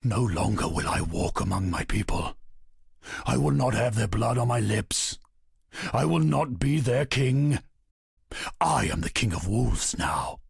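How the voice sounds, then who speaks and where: A gravelly, brooding wolf king. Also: fairly low pitch, expressive, no room sound, studio quality, full character voice. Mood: solemn, resolute. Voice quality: gravelly, husky. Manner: solemn, slow, incantatory declamation. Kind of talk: dramatic video game monologue.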